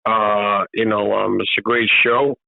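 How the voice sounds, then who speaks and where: Man, casual interview guest, relaxed, tinny call audio, dry. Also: lowish voice, measured pace, vocal fry, dry recording. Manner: relaxed, repeats "you know" often. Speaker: boxing trainer, interview guest. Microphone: video call.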